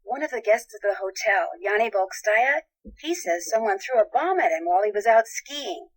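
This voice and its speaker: A woman's voice: mid-range voice, lively intonation, normal speed, natural voice, low-quality recording. A woman playing a polite, composed young amateur detective in a video game, articulate and probing. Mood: inquisitive, earnest.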